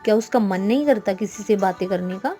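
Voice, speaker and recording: A woman playing a businessman's kind-hearted, chatty young daughter, earnest and expressive, in a storybook tale. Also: mid-range voice, brisk, faint music behind, lightly acted. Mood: wistful.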